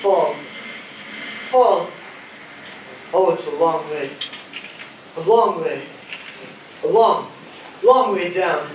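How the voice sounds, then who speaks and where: A male student actor as a nervous, fearful spaceman with a high voice. His stage acting comes from far off in a very echoey hall with faint noise. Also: extremely slow, pauses often. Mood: dazed, terrified. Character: anxious, fearful male astronaut lost in space. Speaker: actor in a school theater production. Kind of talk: amateur stage play acting. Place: theater stage, picked up from a distance.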